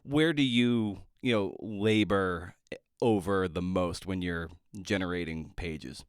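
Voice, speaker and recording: Low, clean-voiced man interviewing casually. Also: slow pace, clean tone, no echo, studio quality. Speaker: podcast host and interviewer.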